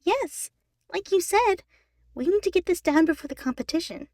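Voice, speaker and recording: A woman voicing an anxious, guilt-ridden young female chipmunk who speaks in a halting, apologetic way. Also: extremely high pitch, animated, darkish tone, no room sound, studio quality, voice pushed up. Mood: curt, insistent.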